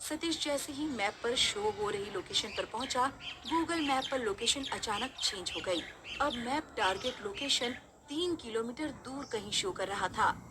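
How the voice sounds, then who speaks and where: ऊँचे सुर वाली महिला कथावाचक. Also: female voice, on the high side, animated, a little slow, background noise. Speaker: story narrator. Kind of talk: scripted horror story narration.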